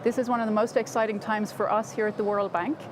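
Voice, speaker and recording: A woman hosts a live interview, speaking fluently and with upbeat energy. Her voice sits at a middle pitch. Clear city and traffic noise runs behind the outdoor recording. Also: fairly even intonation, fast talker. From Ireland. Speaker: host of livestream interview series. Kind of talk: scripted host introduction and questions.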